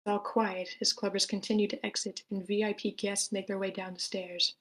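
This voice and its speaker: A woman's voice: medium pitch, flat delivery, a little fast, fairly bright tone. A woman reading stage directions in a matter-of-fact way at a screenplay table read.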